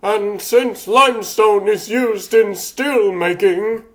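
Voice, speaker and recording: A man's voice: high-pitched, slow pace, fairly bright tone. A man voicing an earnest, declamatory rabbit superhero who leads his team in a comic dub. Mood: explanatory. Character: plucky earnest cartoon rabbit superhero leader.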